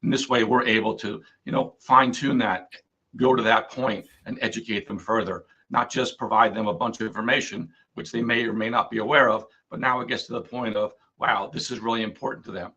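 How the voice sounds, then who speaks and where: A hoarse man, an insurance agent, explaining earnestly as a guest on a radio interview. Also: mid-range voice, steady tone, brisk, pushed and bright, lo-fi sound.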